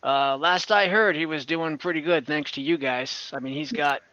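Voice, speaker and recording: Man playing a bright-voiced, laid-back prison official. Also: a little high, moderate pace, no room sound, muffled recording. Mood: matter-of-fact. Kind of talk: improvised tabletop roleplay.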